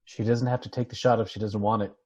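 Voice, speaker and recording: Casting: male actor as brooding, protective ex-doctor, late thirties; low raspy voice, lo-fi table-read audio. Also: flat delivery, fast talker, darkish tone. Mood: firm, protective. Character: brooding, hard-drinking man of thirty-seven, former doctor, protective. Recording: dull lo-fi video call audio.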